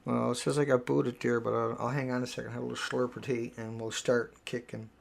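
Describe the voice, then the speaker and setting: A man's voice: mid-range voice, flat delivery, fairly quick, gravelly. A middle-aged man hosting a live stream, talking casually and emphatically, rambling and repeating himself.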